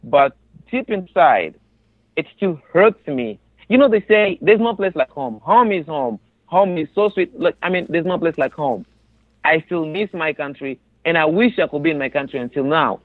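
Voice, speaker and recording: A man's voice: on the high side, animated, normal speed, dry recording. A man with a Congolese accent giving earnest personal testimony in an interview, emphatic and often repeating his phrases. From Democratic Republic of the Congo, raised in a refugee camp in Tanzania. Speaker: interview guest, resettled refugee. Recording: narrow, phone-like sound.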